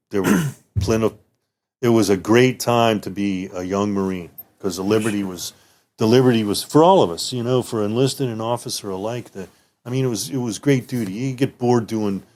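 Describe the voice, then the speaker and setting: Rambling low-voiced retired officer, a man. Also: normal speed, a little mellow. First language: German. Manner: rambling, many restarts and "you know"s. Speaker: retired military officer guest. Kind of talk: casual interview reminiscing.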